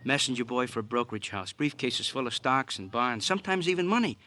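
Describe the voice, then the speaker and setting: Excitable, bright-voiced police sidekick. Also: male voice, mid-range voice, fast talker. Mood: exasperated. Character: excitable, loyal male police sidekick, anxious and plain-spoken. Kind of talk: 1950 radio crime drama acting. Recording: vintage 1950 radio drama.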